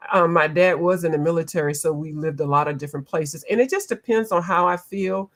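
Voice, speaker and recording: A woman's voice: lowish voice, a little fast. A woman from the eastern United States chatting casually with live viewers while she colors, reading out their comments and laughing often. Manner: laughs often, playful, drifts between accents. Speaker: coloring livestream host.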